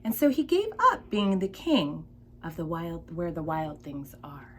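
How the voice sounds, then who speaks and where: Slow, animated woman reading a storybook. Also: fairly low pitch, a little hiss or hum. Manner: dramatic, deliberate phrasing for young children. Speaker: kindergarten teacher reading aloud. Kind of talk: expressive children's picture-book read-aloud.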